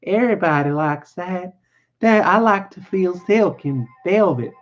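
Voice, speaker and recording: A man's voice: expressive, a little slow, muffled recording, soft background music. A man voicing a vain, scornful young married woman in a storybook reading, using colloquial rustic speech. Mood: friendly. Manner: raised pitch, rustic colloquial wording.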